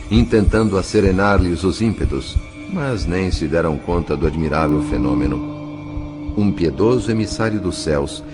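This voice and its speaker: A Brazilian man narrates an audio novel in a deep voice. His delivery is solemn, measured and dramatic, clearly read from a script. Music plays behind him.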